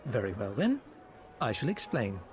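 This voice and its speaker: An actor playing a smooth-talking, foppish male merchant agent in a game cutscene, speaking in a theatrical, flowery, ingratiating way. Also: fairly low pitch, huge pitch swings, normal speed. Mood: explaining. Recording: with background music.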